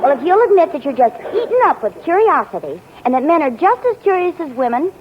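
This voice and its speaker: A sly, teasing sitcom wife, quick and high-voiced with a lively lilt. It sounds like a lo-fi 1940s radio recording, with loud audience and heavy noise behind her. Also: a crowd in the background. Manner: coy, playfully withholding.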